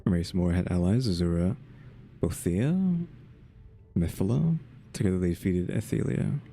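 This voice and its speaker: A man's voice: sits low, lively intonation, moderate pace, gravelly, mellow, faint music behind. A man giving casual video game commentary, muttering and thinking aloud as he plays. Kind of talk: casual gameplay commentary, reading quest text.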